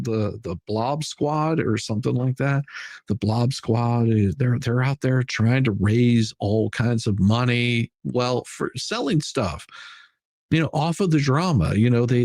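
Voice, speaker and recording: A man with a husky, creaky voice and an ordinary mid-range pitch hosts a livestream. He rambles in casual, sarcastic commentary, doubling back on his phrases. The sound is close and dry. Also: a little mellow, dry recording. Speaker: livestream host.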